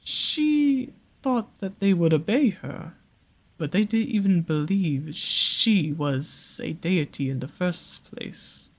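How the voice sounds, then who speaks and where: A voice actor playing a sly, possessive naga monster in an intimate roleplay, speaking in an unhurried, soothing, coaxing way with doting pet names. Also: mid-range voice, lively intonation. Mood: solemn storytelling. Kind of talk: slow intimate roleplay audio with storytelling.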